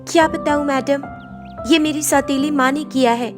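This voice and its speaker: A woman's voice: high-pitched, fairly even intonation, normal speed, over music, pitched above the performer's own voice, natural voice. A woman voicing a kind, earnest young servant girl with a deferential manner in a story. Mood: caring, earnest. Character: kind young servant girl, cheerful despite a painful past.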